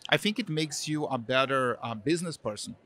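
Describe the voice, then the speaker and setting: A man's voice: medium pitch, animated, fairly quick, sharp tone. Middle-aged Brazilian man with a Brazilian accent, an interview guest who rambles loosely through his answers with plenty of fillers. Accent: Brazilian Portuguese. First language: Portuguese. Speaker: guest, marketing executive.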